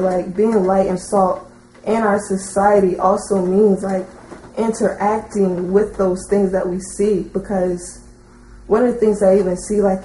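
Woman asking something from the audience, kinda halting, soft-edged voice, echoey room w a bit of noise. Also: medium pitch. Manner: hesitant, halting, with frequent "like". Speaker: audience member asking a question. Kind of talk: spontaneous question and comment at a teaching session. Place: indoor teaching session, speaking from the audience. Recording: roomy echo, faint background noise.

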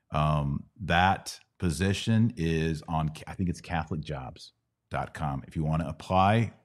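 Middle-aged male podcast host, American, extremely low voice, informal teacherly Q&A delivery. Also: darkish tone. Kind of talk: live Bible Q&A, casual teaching.

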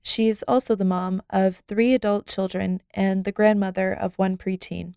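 Woman host reading narration, smooth clear voice, dry close sound. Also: mid-range voice, steady tone, moderate pace, a little mellow, dry recording. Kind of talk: scripted narration.

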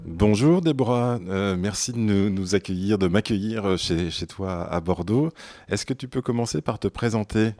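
A man hosting a radio interview, asking questions in a halting way with many repeated words. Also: on the low side, a little mellow, soft background music. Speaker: radio host.

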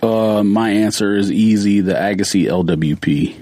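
A man co-hosting a podcast in casual chat, blunt and lively. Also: lowish voice, moderate pace, no room sound, clean studio sound. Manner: blunt, lively, short answers. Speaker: podcast co-host.

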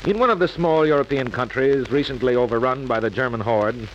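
An American man announcing in scripted 1940s radio style, solemn, oratorical and measured. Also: mid-range voice. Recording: muffled, hissy 1940s radio recording.

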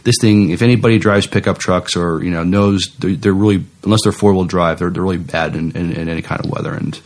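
A man co-hosting a podcast, chatting casually in a mild, halting way. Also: low-pitched, fairly even intonation. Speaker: podcast co-host. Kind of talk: casual chat.

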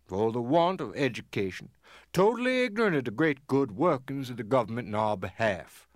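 Actor as dignified Union sergeant, swooping oratory. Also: male voice, medium pitch, sing-song, fairly quick, no room sound, clean studio sound, lightly acted. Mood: impassioned. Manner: oratorical, formal, impassioned. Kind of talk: dramatic reading of a formal letter.

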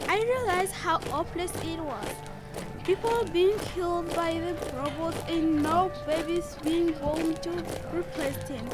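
A teenage girl plays an anguished young wife in youth radio theatre. The voice is very high and unhurried, earnest and pleading, over a music bed. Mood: guilty, sorrowful.

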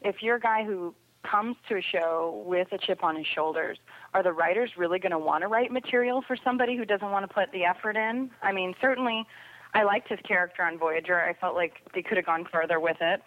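A woman's voice: lowish voice, fairly quick, no room sound, narrow, tinny audio. A woman giving casual, opinionated commentary as a podcast guest, heard over a remote call connection. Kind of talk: casual opinionated panel chat. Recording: thin band-limited phone or radio-like line.

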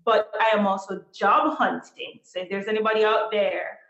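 A woman from Jamaica, a teacher appearing as a podcast guest, speaking in a measured, deliberate way and laying out her points in order. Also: medium pitch, fairly even intonation, fairly bright tone, reverberant, dull audio. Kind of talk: interview answers.

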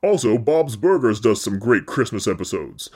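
A man's voice: on the low side, big pitch swings, normal speed, darkish tone, slightly rough. A man voicing an enthusiastic male listener reading out his fan letter. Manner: upbeat, enthusiastic.

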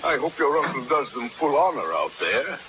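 An actor playing a fussy, excitable colonial governor in a 1930s radio drama. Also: male voice, medium pitch, normal speed, lightly acted. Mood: amazed. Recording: noisy, muffled 1930s radio broadcast.

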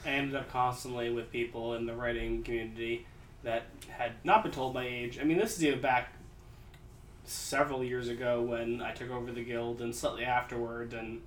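A man's voice: medium pitch, flat delivery, moderate pace. A man in his forties in casual chat, wry and teasing, laughing at his own remarks. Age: 40s. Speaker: writers' guild leader. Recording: roomy echo, distant mic, faint hiss.

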